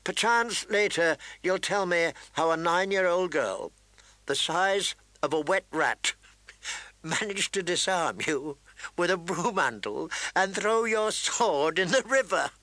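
A man's voice: sits high, expressive, takes it slowly, pushed and bright, no room sound, voice pushed up, heavily acted. A man voicing an amused, mocking lord with a wry, teasing manner in a storybook reading. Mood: mocking mirth. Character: amused mocking young lord, the king's brother.

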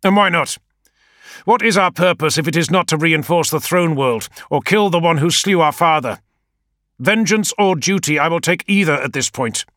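A man voicing a proud, fiery male war leader who speaks in a raised, forceful way. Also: fairly low pitch, very animated, moderate pace, no echo, studio quality, voice pushed up. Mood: angry. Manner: forceful, raised, impassioned delivery.

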